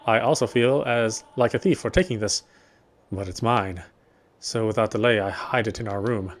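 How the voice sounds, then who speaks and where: A man reading a horror game story aloud, with expressive, lingering narration. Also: mid-range voice. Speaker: let's player reading a visual novel aloud.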